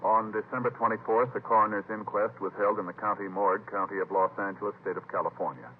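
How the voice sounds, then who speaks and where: A man with an American accent announcing formally for a 1950s radio show, measured and official. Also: fairly low pitch, fairly even intonation, slight background noise. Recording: tinny vintage radio broadcast, music behind.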